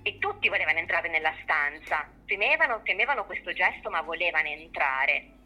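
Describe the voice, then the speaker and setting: A woman's voice: fairly low pitch, sing-song, fairly quick, bright, forceful tone, rough recording. A woman giving reflective, explanatory interview answers, repeating phrases as she works through her thought. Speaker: theatre artist being interviewed.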